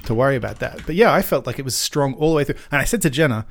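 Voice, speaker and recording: A male podcast co-host with a middle-range voice talks casually about films. He speaks in a halting, questioning manner, working out his thoughts as he goes. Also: medium pitch, animated. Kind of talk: casual movie chat.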